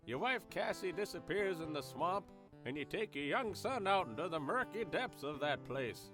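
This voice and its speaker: A man's voice: fairly high pitch, very animated, moderate pace, over music. A man playing a smug, corrupt small-town lawman with a folksy, taunting drawl in an audio drama. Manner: drawling, folksy, taunting, calls himself by name.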